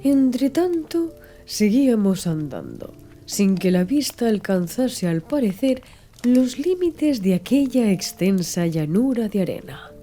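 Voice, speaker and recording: A woman reads a literary story as an audiobook narrator. Her voice sits a little high yet is extremely soft and mellow in tone. She delivers the scripted prose in a measured, expressive way, with music playing underneath.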